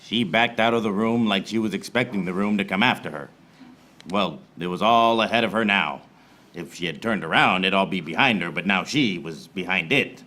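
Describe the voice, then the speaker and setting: An actor playing a wry, hard-boiled private eye who narrates a parody noir radio serial in deadpan, recorded live on stage. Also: male voice, sits low, moderate pace. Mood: deadpan world-weary. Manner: deadpan pun-laden monologue. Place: small theater stage before live audience.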